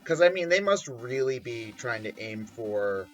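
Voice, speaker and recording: A man's voice: mid-range voice, lively intonation, a little slow, lo-fi sound, faint music behind. A man giving casual commentary on a game in a group chat.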